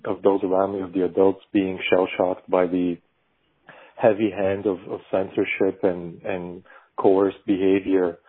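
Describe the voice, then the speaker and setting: A low-voiced man from Bulgaria speaks as a podcast guest who works in animation. His voice is husky, and he picks his words slowly, often stopping to restart a thought. The sound is tinny and narrow, like a remote call coming over a phone line. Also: level tone. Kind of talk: reflective conversational interview.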